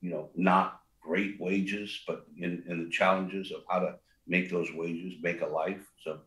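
Older guy with a big low voice, rambles with lots of um and you know, echoey room. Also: fairly even intonation, a little slow, fairly bright tone. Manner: rambling, many fillers and restarts. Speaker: restaurant co-founder guest. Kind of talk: casual interview talk.

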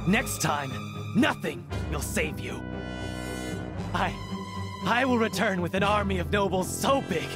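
An actor voicing a pompous, blustering villain who claims to be the true heir, in a theatrical video game style. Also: male voice, sits high, expressive, measured pace, long pauses, music in the background. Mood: furious, threatening.

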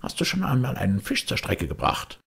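A man voicing a blunt, bossy old sea captain with a rough sailor's voice in an audiobook. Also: medium pitch, huge pitch swings, a little fast, fairly bright tone, voice pushed up, heavily acted. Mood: hearty, bossy.

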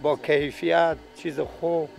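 Middle-aged man, extremely creaky higher voice, terse factory-worker interviewee. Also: flat delivery, soft background music. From Afghanistan. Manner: terse, clipped short phrases. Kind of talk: brief interview answers. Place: large indoor factory hall. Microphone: handheld reporter microphone held toward him.

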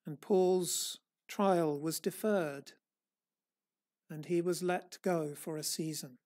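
A middle-aged man, a church elder, preaching a sermon from a lectern in a small church hall, earnest and measured, rising and falling in force. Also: on the high side, steady tone, a little mellow. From England. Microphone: far camera, standing at a lectern.

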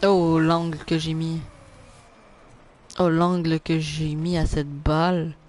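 A young man with a Québécois French accent, live-streaming a car soccer game, chatting with viewers and reacting loudly to plays. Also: a little high, takes it slowly, faint music behind. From Quebec. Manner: excitable, groans and repeats "non" at misses. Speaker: gaming streamer. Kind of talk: live gameplay commentary reading chat.

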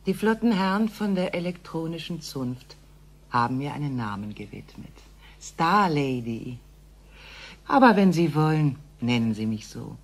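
An enigmatic alien woman from a sci-fi radio play. Her extremely low, husky voice is measured and formal, with a calm, faint disdain. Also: heavily acted. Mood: wry, aloof. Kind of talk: science-fiction radio play acting.

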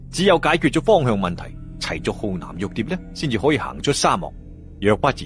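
A man with a Hong Kong Cantonese accent narrating a fantasy story in a dramatic, expressive, colloquial way with drawn-out particles. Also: fairly low pitch. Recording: music behind the voice.

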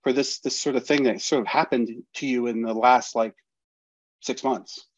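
A man's voice: medium pitch, steady tone, normal speed, no room sound. Middle-aged male host, rambling with fillers, dry, muffled home-studio sound. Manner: rambling, restarts, many fillers. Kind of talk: video call interview. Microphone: studio mic with headphones.

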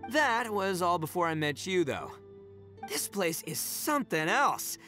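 A man's voice: high-pitched, very animated, a little slow, sharp tone, faint music behind, full character voice. A man playing a carefree, boastful young oni gang boss in an English video game dub, with cocky, slangy, theatrical delivery. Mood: emphatic, playful.

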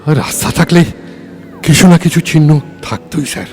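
A man's voice: fairly high pitch, normal speed, darkish tone, soft background music, lightly acted. A man acting a deferential, wary assistant in an audio story, speaking hesitantly and repeating himself. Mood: deferential, puzzled.

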